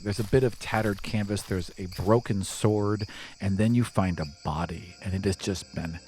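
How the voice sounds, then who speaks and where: A man's voice: fairly low pitch, fairly even intonation, moderate pace, darkish tone. A man narrating a tabletop roleplaying game as game master in a casual, descriptive way. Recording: faint insect noise behind the voice.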